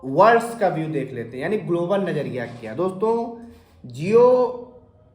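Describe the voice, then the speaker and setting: A man with a sore throat explaining investing to viewers, often clearing his throat and asking questions he then answers himself. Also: on the high side, sing-song, moderate pace, a little mellow, reverberant. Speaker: finance explainer channel host. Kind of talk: informal explainer talk to camera.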